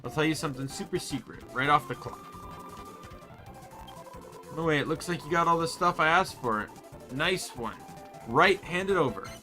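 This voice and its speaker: A man acts out a young fairy girl from a video game who fancies herself royalty. The voice is bossy and teasing, slightly high and bright. Faint music runs behind it. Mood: pleased. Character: bossy, cheeky young fairy girl, self-styled queen.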